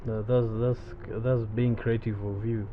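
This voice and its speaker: A young man hosts a casual podcast and stumbles through his questions with frequent restarts. His voice is mid-pitched. The audio is tinny and narrow like a phone line, with a faint hiss. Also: level tone, unhurried. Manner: hesitant, with many restarts. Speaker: podcast host.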